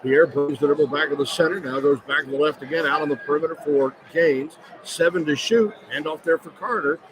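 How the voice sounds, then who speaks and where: Over clear arena noise, a man with a slightly high voice gives live basketball play-by-play on the radio. He is the announcer, following the action from courtside in a big indoor venue. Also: brisk. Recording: noisy live arena broadcast.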